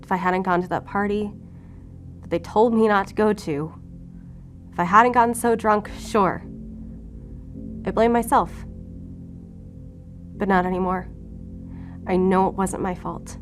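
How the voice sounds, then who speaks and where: A woman's voice: medium pitch, a little slow, long pauses, soft background music, lightly acted. An actress playing a guarded, sarcastic female agent in an audio drama, speaking bluntly and casually in a naturalistic way. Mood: defensive, pained. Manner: sardonic, deflecting, casual and blunt.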